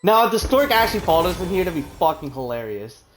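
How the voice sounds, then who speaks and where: A woman's voice: fairly low pitch, very animated, quick pace, low-quality recording. A woman streaming a game, chatting casually, laughing often and swearing freely. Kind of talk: casual live game commentary. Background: game music.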